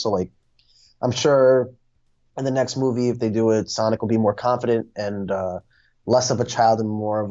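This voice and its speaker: A man with a lowish voice hosts a casual film chat. He speaks hesitantly, feeling his way through sentences. The sound is very dry and a little lo-fi. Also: darkish tone, no room sound. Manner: hesitant, halting delivery. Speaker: film discussion host.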